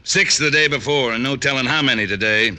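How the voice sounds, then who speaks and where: Pushy self-made factory boss, very hard-edged, forceful mid-range voice, blustering old radio tough talk. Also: male voice, medium pitch, brisk. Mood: aggrieved, forceful. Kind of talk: mid-century radio drama acting.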